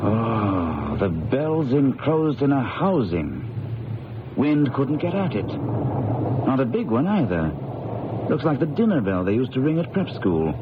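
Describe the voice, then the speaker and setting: An actor playing a brisk, confident, educated young man who scoffs at ghosts, in a radio drama. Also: medium pitch, big pitch swings, background noise. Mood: curious, intent. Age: young adult. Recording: dull old radio recording, loud music.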